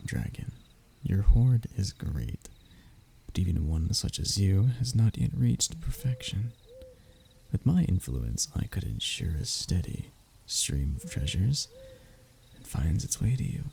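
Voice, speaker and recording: Flustered, lovestruck royal captive played by a man, roleplay audio: slow, flowery and pleading, with a gravelly creak. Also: deep voice, big pitch swings, mellow, a little hiss or hum, heavily acted, low-quality recording. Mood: scheming, persuasive. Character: young human royal heir, flustered, stubborn, scheming, lovestruck captive. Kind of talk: audio roleplay acting, addressing the listener closely.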